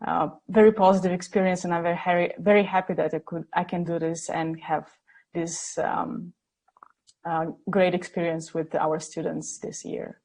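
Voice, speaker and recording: A woman with a fairly low voice, introducing herself as an assistant professor on an online panel. She is earnest and sometimes searches for words. The sound is that of a video call. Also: little pitch movement, moderate pace, creaky, darkish tone, breathy edge. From Bulgaria. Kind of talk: webinar self-introduction.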